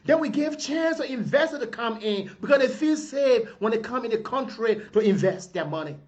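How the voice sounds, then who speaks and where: A man's voice: extremely high pitch, animated, moderate pace, darkish tone, dull audio. A man from Liberia giving an impassioned video address to his compatriots, preaching in repeated rhetorical appeals. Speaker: political advocate addressing compatriots.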